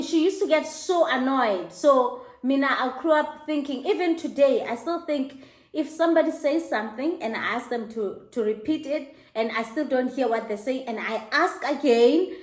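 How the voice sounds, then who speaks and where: A middle-aged woman with a South African accent recounts a personal story in a lively, laughing way and slips into squeaky exclamations. Her voice sits a little high. The room around her is echoey. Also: normal speed. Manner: animated, laughing, high-pitched mock exclamations. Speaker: host. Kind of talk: casual storytelling to camera.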